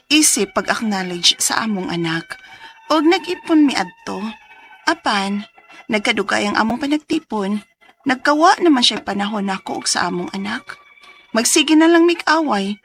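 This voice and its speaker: A woman's voice: mid-range voice, expressive, normal speed, fairly bright tone. A woman playing a young single mother who earnestly tells her story in a radio drama, with a Cebuano accent. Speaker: letter sender narrating her story.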